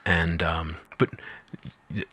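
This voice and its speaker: A man's voice: sits low, flat delivery, takes it slowly, fairly bright tone, breathy edge, no echo. A man hosting a podcast interview, asking friendly, rambling questions full of fillers. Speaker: podcast host. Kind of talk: casual interview chat.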